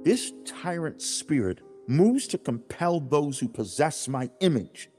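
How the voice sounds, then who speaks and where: A man playing God in a dramatized audiobook, speaking directly to humankind in a grave, fatherly, deliberate way with emphatic enunciation. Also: fairly high pitch, big pitch swings, darkish tone, soft background music. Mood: grave, sorrowful.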